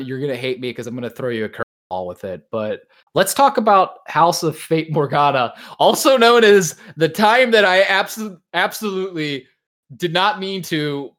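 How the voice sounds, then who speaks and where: A man's voice: on the high side, fairly bright tone. A man hosting a podcast, chatting casually and prompting his guest in an informal, encouraging way. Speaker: podcast host.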